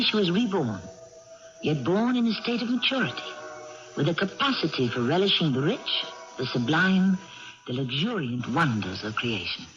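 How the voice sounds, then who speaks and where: A man hosting a radio drama with scripted dramatic narration in deliberate, literary phrasing. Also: fairly high pitch. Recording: muffled old radio broadcast with music.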